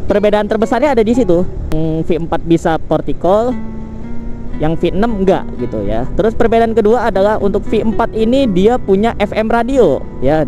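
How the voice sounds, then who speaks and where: A man with an Indonesian accent hosting a casual product comparison vlog, talking in a slangy, conversational way with frequent tag words. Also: high voice. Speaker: motovlogger and channel host.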